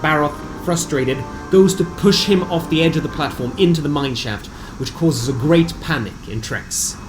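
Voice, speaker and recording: A man reading a scripted fantasy game recap as a storyteller, with deliberate, theatrical phrasing. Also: a little high. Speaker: narrator of a tabletop game session recap. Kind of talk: scripted dramatic storytelling narration. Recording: music bed behind the voice. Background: background music.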